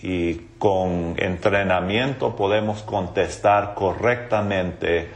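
Slow-spoken man. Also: low-pitched. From Honduras, raised in an English-speaking home. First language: English and Spanish. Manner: halting, searching for words, seeks agreement. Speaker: guest, evangelism trainer. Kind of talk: religious interview conversation.

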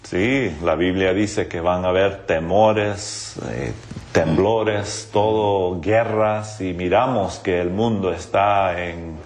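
Middle aged guy, in his spanish, kinda low voice, talks really slow and searches for words, religious interview. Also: darkish tone, slight background noise. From Honduras, raised in an English-speaking home. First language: English and Spanish. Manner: halting, searching for words, seeks agreement. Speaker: guest, evangelism trainer.

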